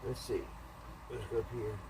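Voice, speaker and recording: A man's voice: on the low side, level tone, moderate pace. A man in a casual hands-on repair video, thinking aloud in a folksy, muttering way as he works. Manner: folksy, muttering to himself while working. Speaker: hobbyist repairing an antique radio. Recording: clearly noisy hands-on home video.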